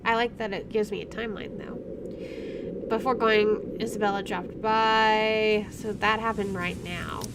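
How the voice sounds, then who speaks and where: A woman's voice: medium pitch, fairly even intonation, a little slow, noisy background. A woman streamer reading visual novel text aloud and breaking in with casual, playful asides. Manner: chatty, self-interrupting, playful reactions. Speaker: video game streamer doing a let's play.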